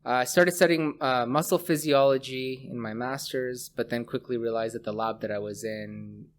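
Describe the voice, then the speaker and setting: A man from Canada, a scientist guest, telling his story in a relaxed way with many fillers. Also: medium pitch, normal speed, a little hiss or hum. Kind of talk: interview answers. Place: office room with a table. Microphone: desk mic on a boom arm.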